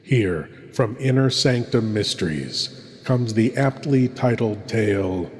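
A man's voice: on the low side, animated. A man playing a sinister, courtly horror host lord, introducing radio tales in a pompous, ominous, theatrical manner. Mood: grave, ominous. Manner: pompous, ominous, drawn-out delivery. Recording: long cavernous reverb, some noise.

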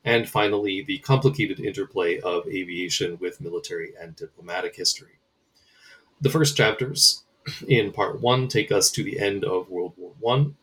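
A man's voice: low voice, a bit bright, low-quality recording. A man hosting a podcast interview, reading a prepared book summary with occasional fillers. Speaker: podcast host.

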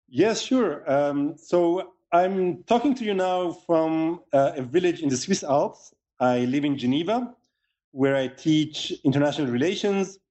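A middle-aged man speaks over a remote call, his voice mellow and a touch higher than most men's. He is measured and careful, often stopping mid-thought to find the right word. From Lebanon. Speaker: author and professor, interview guest. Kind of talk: remote interview. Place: home in a mountain village.

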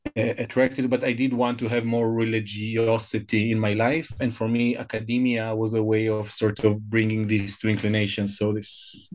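A man, Hebrew speaker, giving reflective interview answers as a guest scholar. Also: lowish voice. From Israel. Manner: reflective, searching for words.

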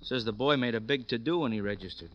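An actor playing a world-weary, sardonic police detective sidekick in a 1950s radio drama, speaking plainly. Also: male voice, mid-range voice, fast talker, a bit bright, close to an everyday voice. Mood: matter-of-fact. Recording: muffled, noisy old radio recording.

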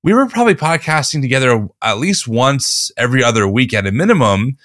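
A man hosting a podcast, talking casually in a slightly hoarse voice and telling a story with relaxed restarts. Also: mid-range voice, fairly quick, no room sound, studio quality. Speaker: podcast host. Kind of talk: casual chat.

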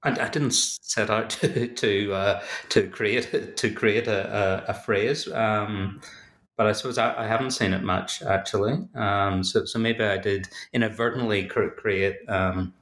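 A man's voice: fairly low pitch, fairly even intonation, measured pace, fairly bright tone, roomy sound. A man with a Belfast accent, an author giving thoughtful, hesitant interview answers full of fillers and restarts. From Northern Ireland. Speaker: author and academic guest.

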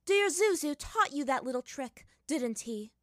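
A woman voicing a cruel, haughty young princess who mocks and threatens loudly in a storybook reading. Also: extremely high pitch, very animated, normal speed, no room sound, studio quality, pitched above the performer's own voice. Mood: menacing.

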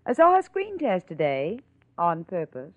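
A woman's voice: lowish voice, wildly swooping pitch, measured pace, no room sound. An actress playing a haughty, scheming woman columnist in an arch, biting drawl, in old-time radio drama style. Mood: smug. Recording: muffled vintage radio recording, narrow band.

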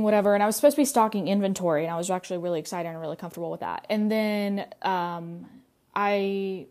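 A young woman hosts her own podcast in a relaxed solo monologue. Her voice sits at a middle pitch for a woman. She rambles in a friendly way and fills her pauses with "like" and "um". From United States. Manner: chatty, many fillers and "like". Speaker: podcast host. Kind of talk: casual personal monologue.

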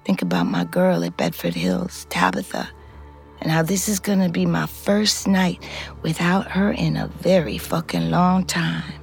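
Low, gravelly, weary New ex-con. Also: female voice, lively intonation, faint music behind. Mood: stoned, reflective. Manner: halting, blunt, conversational, profane. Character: weary, foul-mouthed, wary woman ex-convict, a rootless New Yorker. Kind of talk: confessional fiction podcast narration and dialogue.

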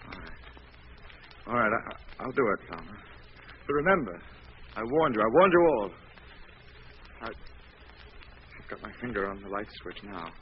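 An actor playing a brooding, bitter, anguished disfigured recluse in a theatrical 1940s radio melodrama. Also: male voice, fairly low pitch, very animated, unhurried, pauses often. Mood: afraid, reluctant. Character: tormented, bitter disfigured male recluse hiding in darkness. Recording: dull, noisy old radio recording.

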